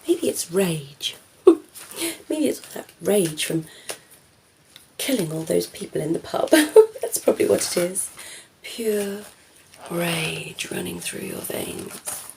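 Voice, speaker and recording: A woman playing a cheeky, flirtatious female vampire in a soft, teasing ASMR roleplay, often slipping into whispers. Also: lowish voice, big pitch swings, slow pace, gravelly, pauses often, a little hiss or hum, heavily acted, rough recording. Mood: flirtatious, hungry.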